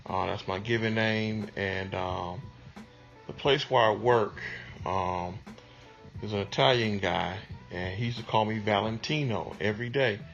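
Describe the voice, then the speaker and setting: Unhurried man. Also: lowish voice, vocal fry, fairly bright tone, lo-fi sound, soft background music. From Detroit, USA. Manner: unhurried, conversational, with many ums. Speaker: music producer interviewee. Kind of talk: reflective interview answers.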